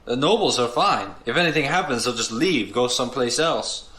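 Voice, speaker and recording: A man's voice: medium pitch, expressive, moderate pace, pushed and bright, poor audio. A man playing an anxious, resentful commoner father in an audio drama, earnest and worried. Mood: bitter.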